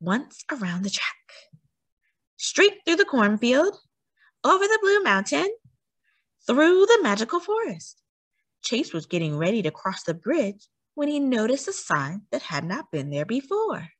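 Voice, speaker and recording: Female children's storyteller, animated and emphatic read-aloud, very varied intonation, dry sound. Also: fairly high pitch, unhurried, no echo.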